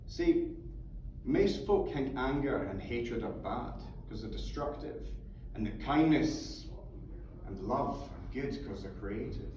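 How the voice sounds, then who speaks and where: A man's voice: mid-range voice, lively intonation, slow, darkish tone. A man reading the part of a fervent, sweary Scottish mentor who philosophises in an emphatic, coaxing manner. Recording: echoey theatre from far off, faint noise.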